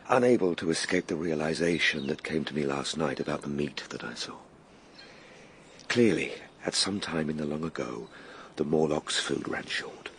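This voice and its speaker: A tender, earnest Victorian adventurer in a radio drama. His very low, creaky voice swings widely in pitch, with a hushed and halting delivery. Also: slight background noise, heavily acted.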